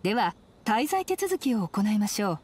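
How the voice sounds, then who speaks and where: A woman's voice: mid-range voice, very animated, moderate pace, a little mellow, a little hiss or hum, natural voice. A woman voicing a polite, businesslike female clerk in an anime game, speaking formally and courteously.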